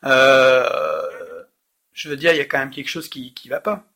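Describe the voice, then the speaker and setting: A man's voice: medium pitch, very animated, unhurried, sharp tone. A man calling to complain, exasperated and insistent, pressing with pointed questions in a recorded phone call. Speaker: caller, customer complaining.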